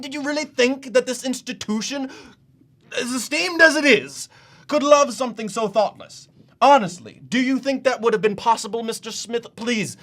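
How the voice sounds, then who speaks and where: An actor playing an awkward, apologetic yet condescending museum official in a comic monologue, with a hesitant, rambling manner. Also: male voice, medium pitch, fairly bright tone. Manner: hesitant, stammering, rambling, self-correcting.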